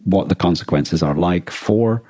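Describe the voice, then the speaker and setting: A man with a very low, noticeably rough-edged voice, recorded close and dry. He talks as a podcast co-host in casual discussion, feeling his way through thoughts and often rephrasing mid-sentence. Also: measured pace, dark tone, dry recording. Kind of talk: casual discussion of a radio drama and war.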